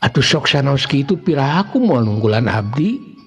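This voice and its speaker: A man's voice: mid-range voice, sing-song, moderate pace, breathy edge, soft background music. A Sundanese-accented man voicing an earnest young man who pleads respectfully with his elder companion in a folk tale. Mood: pleading. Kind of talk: folk tale character dialogue. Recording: long reverb, dull muffled sound.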